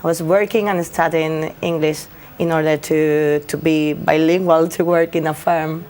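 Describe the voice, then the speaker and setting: Young Spanish woman, lawyer guest, lowish voice, halting non-native English. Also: fairly even intonation, darkish tone. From Spain. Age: young adult. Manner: hesitant, many fillers and restarts. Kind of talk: interview answers.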